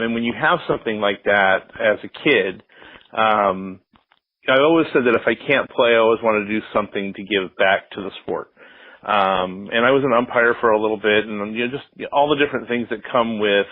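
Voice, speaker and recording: A middle-aged American man answering interview questions as a sports announcer, talking casually and reflectively in a rambling way with frequent pauses. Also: on the low side. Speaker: interview guest, sports public address announcer. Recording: roomy, slightly muffled phone-like line.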